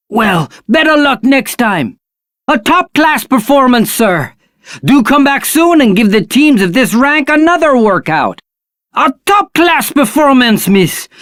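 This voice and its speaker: An excitable, courteous battle arena attendant from a video game with a British accent. The delivery is theatrical and very lively in pitch, unhurried, in a dry recording. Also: female voice, dry recording. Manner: polite, theatrical. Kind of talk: video game character acting.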